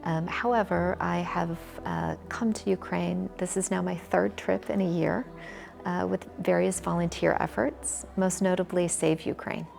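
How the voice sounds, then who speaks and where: An American woman in her forties from Michigan, answering interview questions in a measured, thoughtful way with frequent pauses. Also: on the low side, fairly even intonation, vocal fry, darkish tone, soft background music. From Michigan, USA. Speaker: interview guest, volunteer. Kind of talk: conversational interview.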